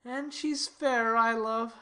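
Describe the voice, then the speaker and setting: A woman's voice: a little high, fairly even intonation, slow, pushed and bright, clear, resonant tone. A woman playing a lovesick, brooding young nobleman in a dramatic Shakespearean reading, with a sighing, melodramatic delivery. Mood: melancholy, sighing.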